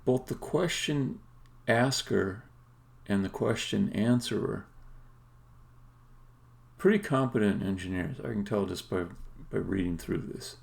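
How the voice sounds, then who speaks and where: A man talking casually and haltingly as he thinks aloud on a live coding stream, with some words stressed emphatically. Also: lowish voice, vocal fry. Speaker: game developer livestreaming.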